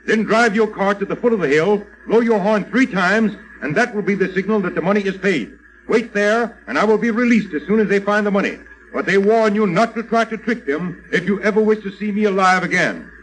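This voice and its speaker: High-pitched, commanding, anxious father. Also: a little fast, a bit bright, poor audio. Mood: grave, anxious. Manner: commanding, earnest, emphatic. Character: worried father, an authoritative leader called Doc. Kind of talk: old-time radio serial acting.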